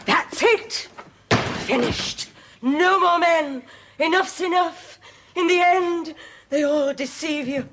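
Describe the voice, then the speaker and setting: An actress playing a man-mad, melodramatic woman who has just been jilted, speaking with breathy, theatrical self-pity. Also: very high-pitched, slow. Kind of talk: 1990s British TV sitcom acting. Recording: noisy TV sitcom track with audience laughter.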